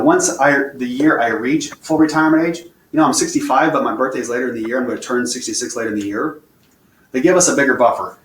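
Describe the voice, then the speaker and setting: A man's voice: medium pitch, fairly bright tone. A man, a financial advisor, explaining retirement rules step by step in a plain, repetitive way while answering viewer questions. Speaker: financial advisor presenting a webinar. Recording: roomy echo, faint room noise.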